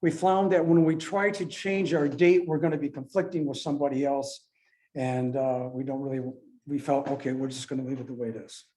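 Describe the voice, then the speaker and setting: Male organizer addressing club members informally, relaxed dark timbre, hesitant phrasing. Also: mid-range voice, a little fast. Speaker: contest organizer presenting and taking questions.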